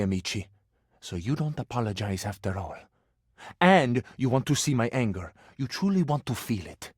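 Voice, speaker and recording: A man's voice: medium pitch, dry recording, studio quality, natural voice. A man playing a passionate, sensitive living cabinet in a video game, with an Italian accent and florid, theatrical, romantic delivery. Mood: wounded, proud, then offended, resolute. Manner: florid, dramatic, wounded romantic phrasing.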